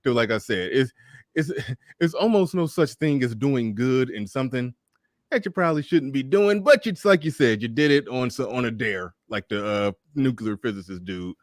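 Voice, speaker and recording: A man's voice: mid-range voice, lively intonation, normal speed, no room sound, clean studio sound. A man hosting a casual livestream, commenting in a laid-back, rambling way with frequent profanity. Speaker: host.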